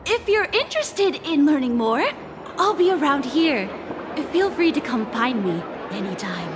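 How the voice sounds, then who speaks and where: A sugary overeager recruiter. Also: female voice, high-pitched, sing-song, unhurried, background noise. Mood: inviting. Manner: sweet, pushy, inviting. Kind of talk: video game voice acting. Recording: game cutscene with loud music.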